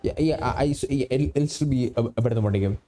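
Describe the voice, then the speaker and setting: A man livestreaming a game with casual, somewhat hesitant, halting chat. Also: mid-range voice, warm tone.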